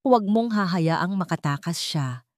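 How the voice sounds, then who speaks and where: A woman's voice: fairly low pitch, lively intonation, measured pace, darkish tone, no room sound. Injured, resolute wealthy man, read by a female narrator, Filipino accent, audio story drama, dry sound. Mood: weak, determined. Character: wounded, determined rich older man. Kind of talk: audio story character lines.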